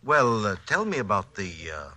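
An actor playing a courteous, sceptical asylum doctor in a 1940s radio thriller, halting and measured. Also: male voice, fairly low pitch, huge pitch swings, bright, forceful tone, a little hiss or hum, heavily acted. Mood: polite. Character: hesitant, sceptical asylum doctor, polite and professional. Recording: old 1940s radio broadcast.

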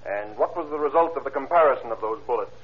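A man's voice: mid-range voice, lightly acted. Methodical prosecutor played by a man, muffled old radio. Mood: formal, probing. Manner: crisp, formal, deliberate questioning. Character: formal, methodical prosecutor questioning witnesses in court. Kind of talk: 1930s radio acting.

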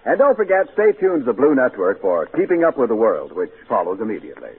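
A man's voice: medium pitch, wildly swooping pitch, fast talker. An American man reading scripted announcements and sponsor messages in 1940s radio style. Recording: narrow, hissy 1940s radio broadcast.

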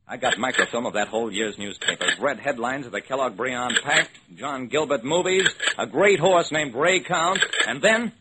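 Casting: level-headed, wry investigator narrating vintage radio mystery, clipped delivery, animated pitch, noisy old recording. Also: male voice. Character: wry, level-headed male investigator telling his case.

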